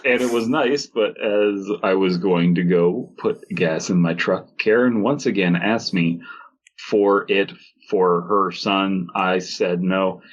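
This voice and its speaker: Low-voiced man, dull lo-fi recording. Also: takes it slowly. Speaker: podcast co-host. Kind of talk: comedic read-aloud of online stories with banter.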